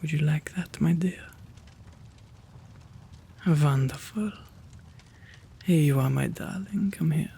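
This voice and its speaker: A man voicing a kind, courteous gentleman vampire in a soothing ASMR roleplay, gentle and tender, often slipping into a whisper. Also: on the high side, takes it slowly, vocal fry, pauses often, slight background noise. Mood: tender, inviting.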